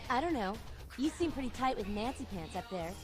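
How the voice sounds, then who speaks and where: A woman's voice: on the high side, expressive, brisk, close to an everyday voice. A woman playing a tough, sarcastic anarch vampire in a video game, with blunt, mocking slang. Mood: mocking, conspiratorial. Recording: loud music under the voice.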